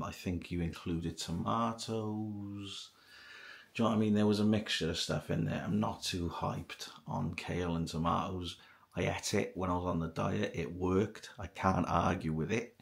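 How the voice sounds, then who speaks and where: A man's voice: low voice, gravelly. A middle-aged man with a British accent chatting casually to camera in a rambling way, repeating himself for emphasis. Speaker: video blogger. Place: small kitchen at home, indoors.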